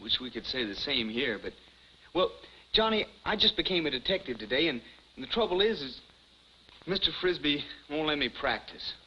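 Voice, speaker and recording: An actor playing a naive, earnest young amateur detective with boyish, stammering eagerness in a 1950s television comedy. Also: male voice, fairly high pitch, big pitch swings, moderate pace, sharp tone. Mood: dejected. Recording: muffled, hissy 1950s television soundtrack.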